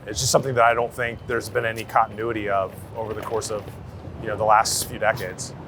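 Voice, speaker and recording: A man's voice: fairly low pitch, little pitch movement, quick pace. A man talking casually and informally as a street photographer being interviewed, with slangy, sweary phrasing. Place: outdoors on a city street. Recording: outdoor city street noise, traffic.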